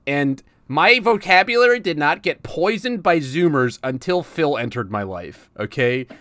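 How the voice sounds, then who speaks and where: A man's voice: a little high, animated, normal speed, fairly bright tone, no echo. A man in his thirties from Florida hosting a podcast, talking casually and rambling with lots of fillers and laughs. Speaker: podcast host. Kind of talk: casual chat.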